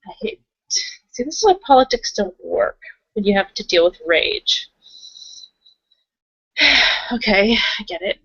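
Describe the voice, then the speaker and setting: A woman's voice: fairly high pitch, very animated, measured pace, pauses often, a bit bright, slightly rough, exaggerated voice. A woman playing a young, candid werewolf in an improvised roleplay, casual and blunt as she questions things. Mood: exasperated. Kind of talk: improvised in-character roleplay conversation. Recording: dull lo-fi voice recording.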